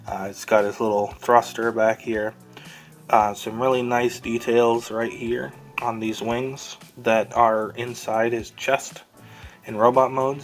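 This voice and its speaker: Low, flat-toned man reviewing toys. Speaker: toy reviewer and host of the show. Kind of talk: casual hands-on toy review, unscripted.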